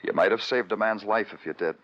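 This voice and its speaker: An American actor playing a weary, brooding New York police detective in an old radio crime drama, terse and sardonic. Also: male voice, lowish voice, quick pace, lightly acted. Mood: weary, stern. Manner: terse, sardonic, poetic in narration. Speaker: lead actor and narrator. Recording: muffled vintage radio recording, music behind.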